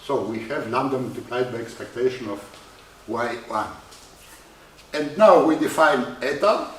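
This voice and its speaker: A man with a Greek accent giving a university lecture on probability, halting often with "uh" in non-native English. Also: medium pitch, slight background noise. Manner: halting, many uh fillers, non-native phrasing. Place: lecture hall. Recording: echoey lecture hall from far off.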